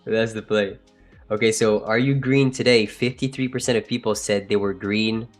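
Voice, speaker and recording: A man with a French accent hosting a live trading stream, chatting casually and joking with viewers. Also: lowish voice. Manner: joking, laughs often, trails off and restarts. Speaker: livestream trading host.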